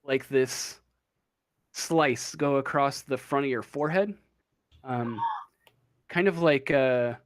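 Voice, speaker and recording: A man acting as game master, narrating a monster's attacks in a loose, halting way with many fillers. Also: mid-range voice, dry recording, studio quality. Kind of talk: narrating a tabletop game over voice chat.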